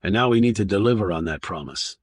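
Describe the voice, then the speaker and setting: A man voicing a calm male investigator who speaks in a steady, reassuring way. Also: lowish voice, fast talker, slightly rough, dry recording, studio quality. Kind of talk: audiobook narration.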